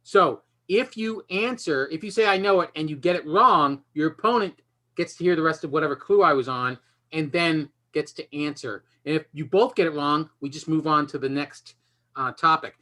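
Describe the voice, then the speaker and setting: A man hosts a casual online game show in a bright, forceful voice. He talks off the cuff with joking, self-deprecating asides, as if on a video call. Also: fairly high pitch, moderate pace. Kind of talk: casual unscripted hosting of an online quiz.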